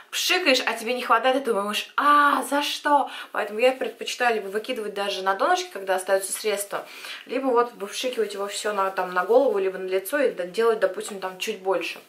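A woman in her twenties with a slightly husky, worn voice, chatting casually to the camera as a vlogger. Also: medium pitch, fairly bright tone. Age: 20s. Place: indoors, small room at home.

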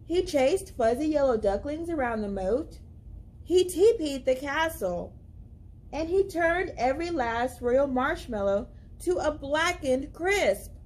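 A young woman with a high voice reads a picture book aloud for children. Her delivery is slow and deliberate, with dramatic emphasis on key words, in an expressive storytime style. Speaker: library storyteller. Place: indoors, library among bookshelves.

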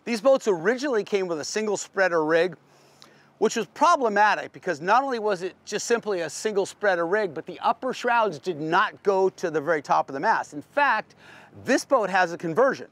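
American male tour presenter, higher-pitched, folksy and unscripted, explanatory delivery, very dry sound. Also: expressive, brisk, a bit bright, no room sound. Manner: plain-spoken, folksy, explanatory. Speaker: boat reviewer, veteran sailor. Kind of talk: casual unscripted boat tour talk.

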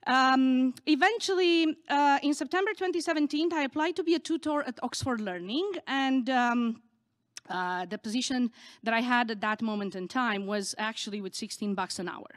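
A middle-aged woman, a native Romanian speaker, giving a candid, earnest personal story from a conference stage into a podium microphone, a little halting. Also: sits high, no room sound, studio quality.

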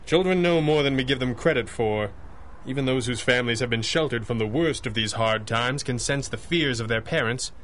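An actor playing a righteous, dry-witted masked superhero with formal, theatrical diction in a 1930s-style radio drama. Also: male voice, mid-range voice, brisk, a bit bright, a little hiss or hum, natural voice. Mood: grave, measured.

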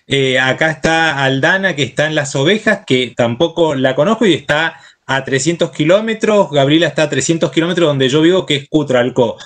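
A middle-aged man with an Argentine accent gives informal thank-you remarks on a video call, in a halting way with repeated words. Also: a little high, fairly bright tone. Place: indoor room with bookshelves. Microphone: computer mic on a video call.

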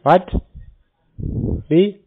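A man in a phone conversation with cold callers, speaking in a sardonic, confrontational way. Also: very slow. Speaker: call recipient confronting scam callers. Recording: muffled, narrow phone-call sound.

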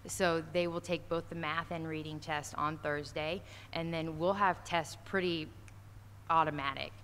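Woman, school administrator, low pitch, casual, filler words, big auditorium, long reverb. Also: fairly even intonation, unhurried, fairly bright tone. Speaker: school administrator reporting to the board. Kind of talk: answering questions at a school board meeting. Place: large school auditorium stage. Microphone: standing podium mic from a far camera. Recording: echoey hall, faint noise.

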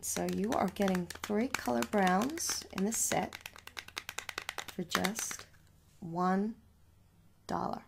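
A young adult woman doing a soft, reassuring ASMR makeup store roleplay, chatting casually and repeating herself as a shopkeeper. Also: mid-range voice, slow pace, vocal fry, pauses often, background noise. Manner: reassuring, repetitive, conversational. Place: small room at home.

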